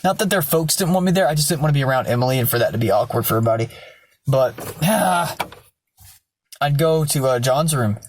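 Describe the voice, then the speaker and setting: Hoarse young guy vlogging at home, loose and rambling, swears a lot. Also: medium pitch, lively intonation, a little fast, slight background noise. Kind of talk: casual storytelling vlog. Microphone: self-filmed camera.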